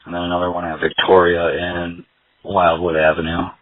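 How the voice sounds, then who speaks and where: Male officer directing units, very deep and flat, narrow radio audio. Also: normal speed, dry recording. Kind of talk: procedural police radio instructions. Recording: tinny narrowband police radio transmission.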